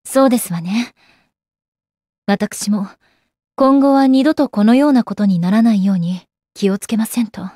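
A woman's voice: medium pitch, normal speed, long pauses, dark tone, no room sound, clean studio sound, close to an everyday voice. An actress playing a proud, composed young goddess warrior in a game, with refined, ladylike and formal speech. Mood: composed, polite. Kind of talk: Japanese anime game character acting. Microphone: close dry studio microphone.

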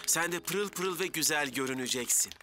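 A man's voice: a little high, fairly quick, sharp tone, a little hiss or hum. A man playing an anxious, clumsy young firefighter in a children's cartoon, dramatic and fretful as he dotes on his engine. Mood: fussing, doting. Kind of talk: Turkish-dubbed children's cartoon acting.

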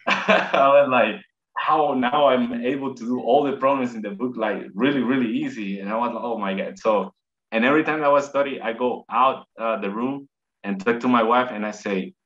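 A man in his thirties from Puerto Rico with a Puerto Rican Spanish accent, a native Spanish speaker telling his story in a casual video call interview, halting and repeating words. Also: medium pitch, fairly bright tone. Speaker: interview guest. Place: home room, indoors. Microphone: video call webcam. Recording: dull lo-fi video call audio.

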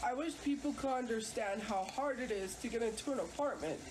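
A woman's voice: fairly high pitch, a little fast, background noise. A woman streaming live from home with a congested nose, chatting in a rambling, hesitant way with many restarts. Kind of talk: casual livestream chat. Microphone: phone.

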